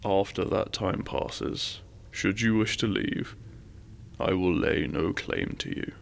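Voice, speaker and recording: A man playing an imperious, possessive dragon king in a romantic fantasy audio roleplay, speaking with formal, commanding courtesy and a gravelly voice. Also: low-pitched, expressive, heavily acted. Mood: formal, courting. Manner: formal, commanding, deliberate menace with seductive courtesy.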